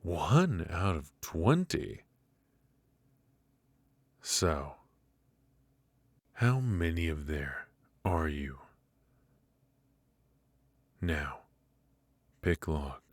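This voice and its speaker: A man performs a menacing mafia boss with an extremely low voice, recorded close and dry. He talks very slowly and deliberately, teasing his captive with mock politeness in an intimate ASMR roleplay style. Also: expressive, dry recording, full character voice. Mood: probing, unhurried. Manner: slow, deliberate, mockingly polite with drawn-out pauses.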